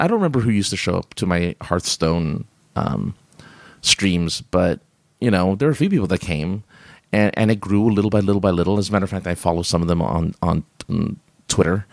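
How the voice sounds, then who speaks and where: A man's voice: low-pitched, creaky, a little mellow, no echo. A man hosting a live stream, chatting casually and reading viewer comments, rambling with many fillers and restarts and laughing often.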